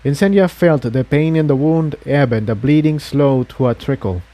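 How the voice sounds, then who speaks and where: A man's voice: on the high side, moderate pace, warm tone. A man reading an adventure story aloud as a scripted narration.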